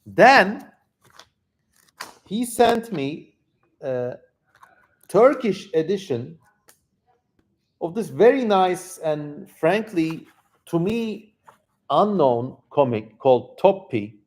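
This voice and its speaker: A man with a slightly high-set voice hosts a casual livestream. He talks informally to his viewers in an effusive way, often doubling words for emphasis. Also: big pitch swings, very slow, long pauses. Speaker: livestream host. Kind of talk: casual livestream chat, reading out viewer comments.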